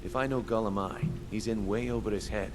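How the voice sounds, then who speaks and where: An actor playing a shrewd, scornful thieves' guild boss in a video game, with a wry, commanding delivery. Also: male voice, lowish voice, steady tone, a little fast, over music, close to an everyday voice. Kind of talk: scripted game dialogue. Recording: clearly noisy background under game dialogue.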